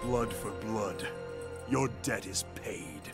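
A wrathful undead ninja warrior in a dramatic game scene. His gravelly voice moves very slowly and menacingly, with big swings in pitch. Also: animated, over loud music. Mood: grimly vengeful. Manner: slow, menacing, halting delivery. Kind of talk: dramatic video game acting.

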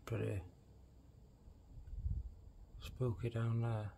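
A British man giving casual, low-key running commentary, musing aloud as he explores. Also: completely flat delivery, very slow, vocal fry, mellow. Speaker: urban explorer and vlogger. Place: inside a large derelict building, walking. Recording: faint background noise, indoor ambience.

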